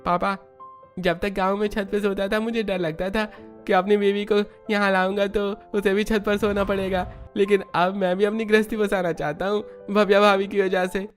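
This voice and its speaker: A man's voice: high-pitched, flat delivery, normal speed, faint music behind, close to an everyday voice. A man voicing an earnest village man in a cartoon. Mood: earnest, eager. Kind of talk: Hindi cartoon dialogue acting.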